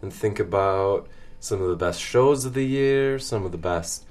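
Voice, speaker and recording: A man's voice: fairly low pitch, unhurried, a little mellow. A man co-hosting a radio show with casual, conversational talk.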